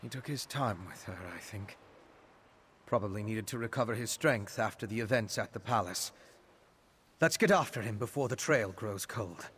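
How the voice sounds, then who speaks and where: An actor playing an articulate, earnest scholar companion in a fantasy video game. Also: male voice, on the low side, moderate pace, a bit bright, slight background noise, close to an everyday voice. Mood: grim, analytical. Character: scholarly male adventuring companion, curious and urgent.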